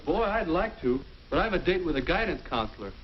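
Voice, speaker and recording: Give me a wholesome eager teenage boy, animated, on a hissy old film track. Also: a little high, quick pace. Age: young adult. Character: upbeat teenage boy making life plans. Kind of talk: 1950s educational film acting. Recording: muffled old film soundtrack with hiss.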